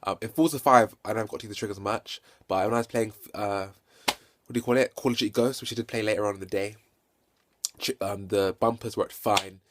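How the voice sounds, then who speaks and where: A man with a fairly low voice talks through a casual hands-on game review. He stutters at times, repeats words and corrects himself as he goes. He sounds keen on his subject, speaking off the cuff into a handheld phone. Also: fairly even intonation, noisy background. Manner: halting, self-correcting and repetitive. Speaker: video game reviewer and vlogger.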